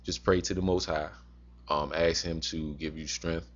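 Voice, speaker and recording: A man giving an informal sermon-like advice talk as a religious teacher, speaking in a halting, repetitive, cautioning way. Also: low-pitched, level tone, lo-fi sound. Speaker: religious teacher advising men.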